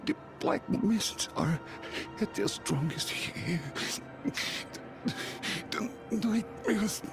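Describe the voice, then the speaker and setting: High-voiced, desperate pleading survivor. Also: male voice, expressive, vocal fry, over music, full character voice. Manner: halting, pleading. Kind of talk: dramatic video game dialogue.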